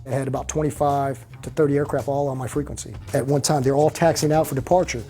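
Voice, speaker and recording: A man working as an air traffic controller, explaining and giving instructions in a brisk, practical manner. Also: mid-range voice, steady tone, mellow. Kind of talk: interview explanation and radio instructions. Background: background music.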